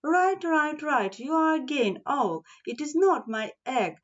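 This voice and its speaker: Woman putting on a high, chattering little squirrel. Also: expressive, takes it slowly, highs cut off. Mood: conceding. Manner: repetitive chattering.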